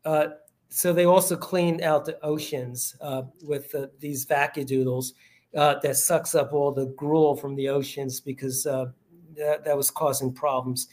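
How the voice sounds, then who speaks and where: American guy author, rambly, slightly higher voice. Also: fairly even intonation, unhurried, a little mellow. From United States. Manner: rambling, many fillers and restarts. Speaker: author guest. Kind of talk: casual interview chat.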